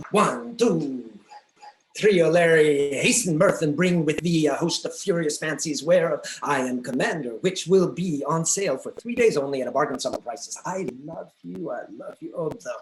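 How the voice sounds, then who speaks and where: A man's voice: on the high side, lightly acted, rough recording. A man playing a babbling flighty butterfly. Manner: rambling, jumps between scraps of quotes and jingles. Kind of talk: play table read.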